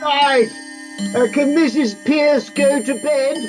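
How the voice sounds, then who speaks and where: An actor playing a genial, mild-mannered gentleman in a staged play reading, polite and hesitant in his talk. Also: very high voice, steady tone, takes it slowly, over music. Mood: mild, amused.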